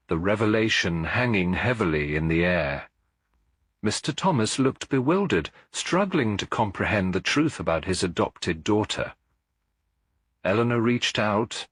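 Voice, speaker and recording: A man narrating a scripted detective story in a measured, expressive storytelling manner. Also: sits low, husky, dry recording, studio quality. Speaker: audiobook narrator.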